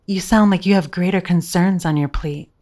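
A woman's voice: lowish voice, normal speed, darkish tone, lightly acted. A woman acting in an audio drama as a scheming lover who feigns sweet devotion, coaxing and intimate.

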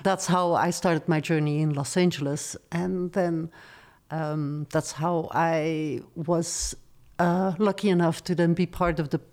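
Middle-aged woman, low voice. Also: steady tone, darkish tone. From Belgium. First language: not English. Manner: measured, reflective storytelling. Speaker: guest, former studio executive. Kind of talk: interview conversation.

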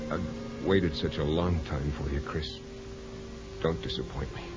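A man's voice: a bass voice, fairly even intonation, a little slow, over music. An actor in a 1950s radio drama playing an earnest, lovestruck man who stammers and presses urgently. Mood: happy, insistent. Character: lovestruck, insistent young hospital patient. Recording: noisy, dull 1950s radio recording.

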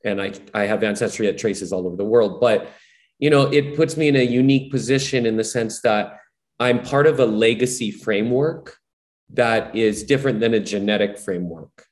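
A man's voice: fairly low pitch, moderate pace. A man from Hawaii, a musician guest, telling his story in an earnest, rambling way, with many "you know"s. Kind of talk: casual reflective storytelling.

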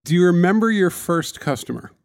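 A man's voice: high-pitched, expressive, a little fast, creaky, a little mellow. A man co-hosting a podcast in a casual, joking interview style.

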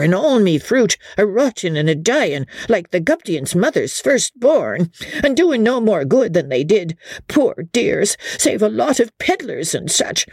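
A man voicing Mister Hempseed, a doleful old male villager, who speaks in a slow, mournful drawl. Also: high-pitched, creaky, breathy edge, no echo, voice pushed up, heavily acted. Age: 70s.

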